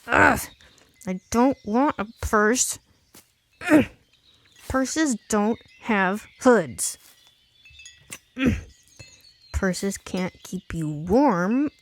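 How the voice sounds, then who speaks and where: A huffy, stubborn young heroine voiced by a woman in an audiobook story. She speaks with gruff emphasis and slow, weighted phrases, with a creaky edge. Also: medium pitch, expressive, exaggerated voice. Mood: frustrated, huffy. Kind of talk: audiobook character dialogue.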